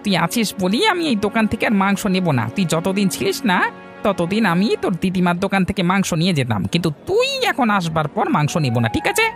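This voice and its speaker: An indignant village customer in a cartoon fairy tale. He rattles along quickly with big swings in pitch, accusing and huffy, with clear music underneath. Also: wildly swooping pitch. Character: indignant village man, a fussy disgruntled shop customer.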